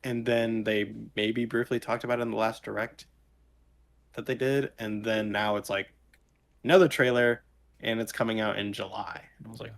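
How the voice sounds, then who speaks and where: A man's voice: on the low side, little pitch movement, moderate pace, creaky. A man chatting casually as a podcast co-host, talking in a rambling, enthusiastic and loosely worded way. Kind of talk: casual chat.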